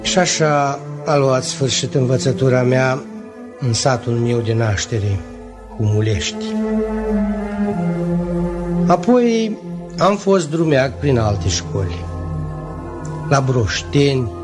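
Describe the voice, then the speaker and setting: A reminiscing storyteller played by a man in a vintage radio drama. He speaks at a middle pitch, measured and reflective, with music swelling loudly around him. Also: lively intonation, lightly acted. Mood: nostalgic. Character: reminiscing grown storyteller recalling his village schooling. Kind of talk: literary narration in old radio drama. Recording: old radio recording under loud music.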